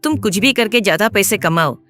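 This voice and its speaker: A woman's voice: mid-range voice, expressive, fairly quick, fairly bright tone, faint music behind, lightly acted. A woman voicing a worried, nagging wife of a poor tea seller in a cartoon moral story, complaining insistently. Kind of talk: acted dialogue in a Hindi animated moral story.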